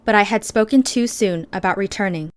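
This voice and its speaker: A woman's voice: mid-range voice, brisk. A woman reading scripted first-person fiction as an audiobook narrator.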